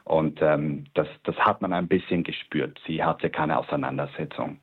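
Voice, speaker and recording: A man's voice: rumbling low, steady tone, moderate pace, breathy edge. A man from Switzerland, a guest artist, telling his story in an interview with frequent hesitations and restarts. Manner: hesitant, many fillers and restarts. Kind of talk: personal interview account. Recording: tinny phone-like line.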